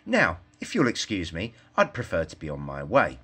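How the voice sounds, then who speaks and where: A man's voice: deep voice, huge pitch swings, moderate pace, pushed and bright, slightly rough, voice pushed down, exaggerated voice. A man voicing an earnest, self-assured little girl in a storybook, lecturing in formal, indignant phrases. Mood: prim, indignant.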